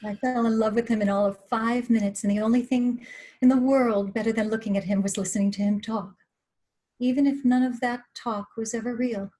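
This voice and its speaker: A woman plays a husky-voiced female star in her fifties in a dramatic table read. She is jittery and tart, leaning hard on repeated words with a wry, bitter bite. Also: a little high, steady tone, brisk, dark tone, natural voice. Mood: rueful. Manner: biting, wry, emphatic repetition. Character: fifty-four-year-old Lucille Ball, nervous, tart-tongued, bitter screen star.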